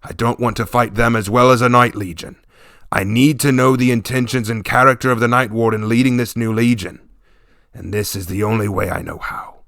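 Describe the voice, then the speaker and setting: A man voicing a stern, gruff warlord chieftain speaking to his daughters, grave and commanding. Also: medium pitch, steady tone, a bit bright, no room sound, studio quality. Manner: commanding, grave, slow and weighty.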